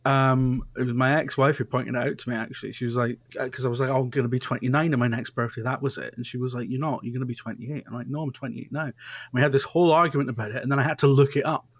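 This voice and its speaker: A British man in his thirties co-hosts a casual podcast at an ordinary middle pitch. He keeps things jokey, laughs easily and pokes fun at himself. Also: steady tone, racing, husky, no room sound. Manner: jokey, laughs often, self-deprecating. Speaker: podcast co-host.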